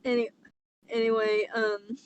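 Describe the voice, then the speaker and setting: A woman's voice: fairly high pitch, level tone, a little slow, muffled recording, slight background noise. A woman co-hosting a podcast, bantering casually over fan fiction with playful exasperation. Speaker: podcast co-host.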